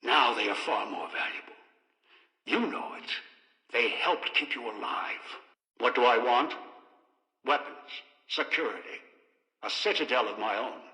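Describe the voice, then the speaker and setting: An actor playing a bitter, scheming old man in a video game, speaking in clipped, deliberate, menacing phrases. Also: mid-range voice, lively intonation, sharp tone. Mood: grandiose, menacing. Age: older. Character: bitter, scheming old man obsessed with pre-war technology. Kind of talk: video game character dialogue. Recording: echoey, long reverb.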